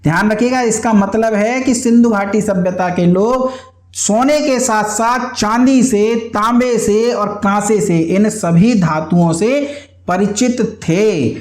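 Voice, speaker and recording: A man's voice: sits high, takes it slowly, darkish tone. A man teaching an exam lesson in a didactic way, posing questions and answering them himself, repeating key points. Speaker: history teacher for exam students. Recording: echoey, roomy sound.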